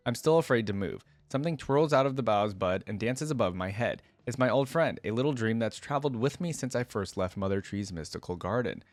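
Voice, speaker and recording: A shy, clumsy young fawn girl from a fantasy storybook, read by a man in a fairly low voice. The telling is gentle and hesitant, first person, in a dry close recording. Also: expressive, a little fast, few pauses, no room sound, natural voice. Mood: timid wonder. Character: shy, timid, clumsy young female fawn dream spirit.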